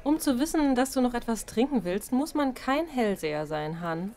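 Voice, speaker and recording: A woman playing a cheeky, energetic, good-hearted barmaid in her thirties in an audio drama. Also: a little high, moderate pace, vocal fry. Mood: teasing. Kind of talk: German audio drama acting.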